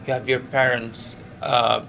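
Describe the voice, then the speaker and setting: Gravelly Moroccan-accented male fighter. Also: mid-range voice, level tone, a little slow, sharp tone, a little hiss or hum, low-quality recording. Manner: earnest, repetitive, non-native English with frequent 'you know'. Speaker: professional MMA fighter. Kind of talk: press interview, casual chat.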